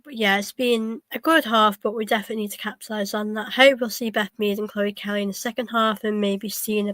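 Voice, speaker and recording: A woman's voice: medium pitch, fairly bright tone, dry recording. A young woman with a cold hosting a fan watchalong, talking through the match casually with lots of ums and restarts. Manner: hesitant, many ums and restarts, chatty. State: has a cold, coughing. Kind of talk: casual live match commentary.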